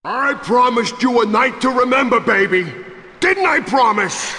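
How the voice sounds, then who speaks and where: An actor playing a ruthless, swaggering gangster boss in a video game, gravelly, boastful and theatrical. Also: male voice, very high voice, expressive, measured pace, sharp tone, full character voice. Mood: excited, coaxing.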